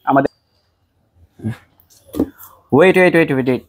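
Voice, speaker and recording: Higher-pitched Bangladeshi salesman, dry sound. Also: moderate pace, dry recording. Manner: salesman-like, addresses viewers directly with rhetorical questions. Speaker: shop presenter selling a product. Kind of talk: promotional product pitch to camera.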